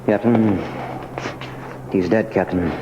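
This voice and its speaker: Steady low-voiced police sergeant. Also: male voice, slow pace, roomy sound. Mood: somber. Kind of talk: 1940s radio crime drama acting. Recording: dull, noisy old radio recording.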